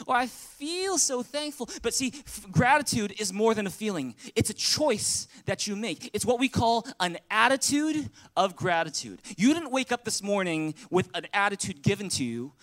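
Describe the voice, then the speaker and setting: A man's voice: high-pitched, a bit bright. A man from Canada, a pastor, preaching an earnest, conversational sermon in a church and calling on the congregation to answer. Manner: earnest, conversational preaching with audience call-and-response. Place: church sanctuary.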